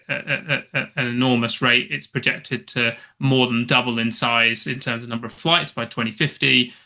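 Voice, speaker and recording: Guy explaining stuff as an expert guest, mid pitch, super bright forceful voice, stop-start phrasing. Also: creaky. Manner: halting, restarts phrases often. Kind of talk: unscripted explanatory interview answer.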